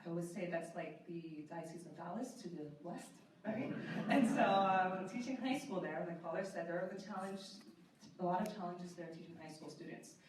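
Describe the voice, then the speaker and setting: A woman's voice: on the low side, animated, moderate pace, noisy background. A religious sister in her thirties introducing herself on a panel in a casual way, with many fillers. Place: large echoey conference hall. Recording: echoey hall, distant mic.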